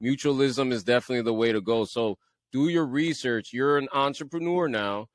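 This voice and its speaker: A man's voice: medium pitch, fairly quick. A man with a Liberian accent hosting a podcast interview in a casual, laid-back way, often repeating words and laughing at himself. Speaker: podcast host.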